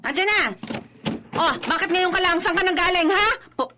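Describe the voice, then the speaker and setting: An actress playing an exasperated, anxious wife in a Filipino radio drama, fretful and emotive. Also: female voice, extremely high pitch, normal speed, noisy background.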